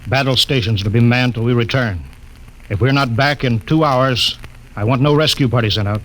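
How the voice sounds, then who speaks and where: An actor playing a brisk, authoritative male spaceship captain in a 1950s radio drama. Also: mid-range voice, fairly bright tone, lightly acted, poor audio. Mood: commanding.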